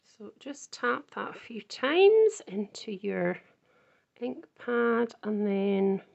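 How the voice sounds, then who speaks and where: Woman, croaky and slow-paced, casual crafting tutor who thinks out loud. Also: a little high, animated, a little hiss or hum. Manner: chatty, thinks aloud while working. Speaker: card-making crafter.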